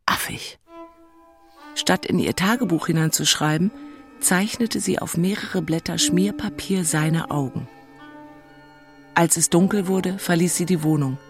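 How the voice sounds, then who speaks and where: A woman's voice: on the low side, a little slow, long pauses, faint music behind. An actress playing an exasperated, lovesick single city woman in a radio play, narrating with blunt, self-mocking asides. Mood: wry, bitter.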